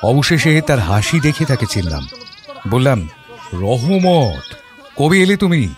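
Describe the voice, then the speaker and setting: An actor playing a reflective father who narrates his memories in a measured, literary and expressive audio story style. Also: mid-range voice. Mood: uneasy. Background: background music.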